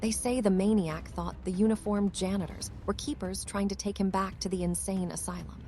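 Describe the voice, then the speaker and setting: A gossiping school pupil played by a woman, with a soft, mellow tone and middling pitch. Faint music runs behind the comic drama. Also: fairly even intonation, fairly quick, lightly acted.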